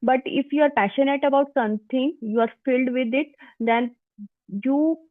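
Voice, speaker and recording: A woman's voice: high voice, moderate pace, no room sound, narrow, tinny audio. A woman with an Indian accent, Hindi her first language, casually explaining common English mistakes over a call. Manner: corrects and explains grammar, lively. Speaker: English-practice YouTuber. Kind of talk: casual conversation practice.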